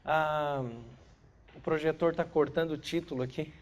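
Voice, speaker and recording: A man's voice: on the high side, flat delivery, moderate pace. A Brazilian man giving an astrophysics class lecture, congested with a cold, explaining didactically with short tag questions.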